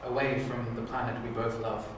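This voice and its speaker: A man's voice: mid-range voice, little pitch movement, natural voice. Man playing forgetful old Time Lord father, hesitant, secretive, sci-fi drama, very echoey, distant mic. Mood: wistful, secretive. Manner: halting, hesitant delivery. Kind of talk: audition improvised drama acting. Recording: very echoey room, distant mic, clear noise.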